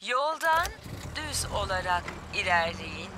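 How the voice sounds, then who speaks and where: A woman voicing a polite talking car computer in a children's cartoon, giving directions like a measured announcer. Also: medium pitch, sing-song, very noisy. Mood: matter-of-fact.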